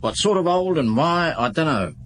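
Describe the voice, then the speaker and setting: World-weary club owner, radio drama, hesitant, confiding, animated pitch, faint background noise. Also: male voice, moderate pace. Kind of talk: 1950s-set radio drama acting.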